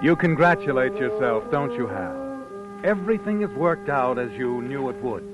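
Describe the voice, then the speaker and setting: A man's voice: mid-range voice, expressive, normal speed, lightly acted. A man playing a sly, all-knowing narrator in 1940s radio suspense style, needling a schemer in the second person with knowing questions. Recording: muffled old radio broadcast, music behind.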